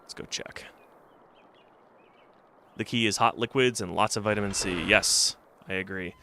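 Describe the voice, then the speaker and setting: A man's voice: fairly low pitch, steady tone, measured pace, sharp tone. A man chatting casually while playing a game on stream, slightly stuffy from a cold and rambling as he thinks aloud. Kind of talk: casual let's-play commentary. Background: game music.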